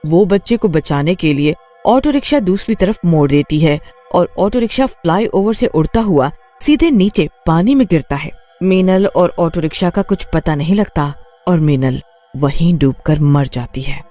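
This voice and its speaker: A woman narrates a scripted story. Her intonation is lively and varied, moving up and down freely. Faint music plays behind the voice. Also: on the low side, moderate pace.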